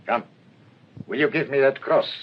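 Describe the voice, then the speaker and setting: A male actor plays a master thief in priest's guise for a radio play. His mid-pitched voice is measured and condescending, heard on a dull recording with faint noise. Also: close to an everyday voice. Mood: smug, mocking. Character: smug, mocking master thief posing as priest. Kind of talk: radio drama acting.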